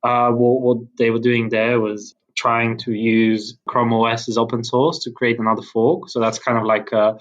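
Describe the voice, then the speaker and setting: A man's voice: medium pitch, little pitch movement, normal speed, few pauses, dull audio. A man from Bulgaria in his thirties, a podcast guest chatting casually with many restarts and fillers and frequent laughs. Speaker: podcast guest, tech founder. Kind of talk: casual interview chat.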